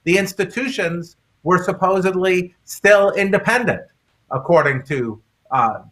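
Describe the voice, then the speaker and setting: A man with a fairly high, bright and forceful voice talks as a guest in a public discussion. He explains his argument in a halting way, doubling back on words as he goes. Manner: halting, hesitant delivery with repeated words. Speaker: guest author and speaker.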